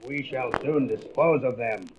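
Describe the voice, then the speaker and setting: An actor playing a cold, imperious alien invader commander with open contempt, in a comic book audio drama. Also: male voice, mid-range voice, measured pace, natural voice. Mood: scornful. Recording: noisy, dull old record with clicks.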